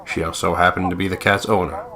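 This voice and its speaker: A man's voice: low-pitched, level tone, fast, crowd noise. A man co-hosting a let's play, reading game text aloud in a playful, theatrical way with sweary asides.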